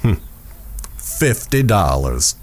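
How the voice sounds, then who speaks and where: An actor playing a condescending gentleman gambler of the Old West, formal and measured, in a Western audio drama. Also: on the low side, sing-song, full character voice.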